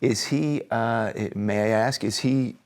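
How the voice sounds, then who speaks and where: A man hosting a podcast interview who asks gentle questions, often restarting and repeating words. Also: medium pitch, slow. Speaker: podcast host.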